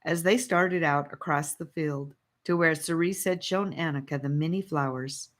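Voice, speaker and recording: A woman reading a children's fantasy audiobook, narrating in a careful, expressive storytelling manner. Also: low-pitched, moderate pace. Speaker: audiobook reader.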